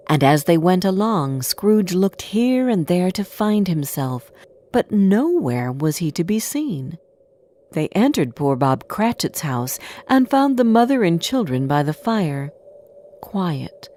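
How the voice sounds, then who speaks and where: A woman reading a scripted storybook tale as narrator, with an expressive, deliberate delivery. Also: on the low side.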